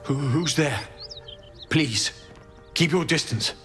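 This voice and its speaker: An actor playing a guarded, devout, solemn holy warrior in a video game, speaking earnestly and formally. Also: male voice, on the high side, takes it slowly, a bit bright, soft background music. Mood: wary warning. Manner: earnest, urgent, formal warning. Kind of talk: video game character acting.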